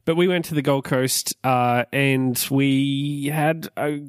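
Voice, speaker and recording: A man's voice: medium pitch, steady tone, takes it slowly, clean tone, no echo, studio quality. A man chatting casually as a podcast co-host, rambling with lots of fillers and restarts. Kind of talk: casual chat.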